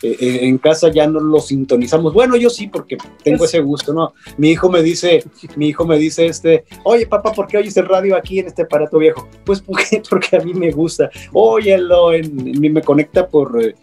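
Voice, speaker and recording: A man's voice: on the high side, moderate pace, mellow, faint music behind. Hesitant middle-aged man, radio veteran. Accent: Mexican Spanish. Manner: hesitant, many fillers. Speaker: radio broadcaster guest. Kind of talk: panel conversation.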